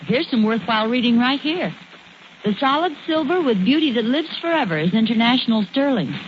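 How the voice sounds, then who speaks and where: A noisy, muffled old radio recording carries a woman acting a calm American housewife. She speaks in a high voice with dry, understated wit, in 1940s sitcom style. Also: a little fast, natural voice. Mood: agreeable. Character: calm, dry-witted suburban wife and mother.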